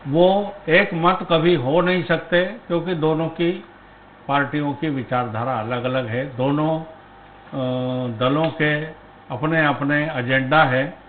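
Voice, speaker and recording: A man giving political commentary as a debate panelist, speaking in measured, broken phrases and posing rhetorical questions. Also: on the high side, fairly even intonation, a little hiss or hum. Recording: tinny phone-like line.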